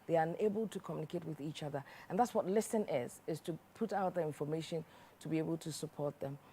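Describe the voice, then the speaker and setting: A woman's voice: low voice, fast, mellow, slight background noise. A woman hosting a studio talk show, closing the episode and addressing viewers directly.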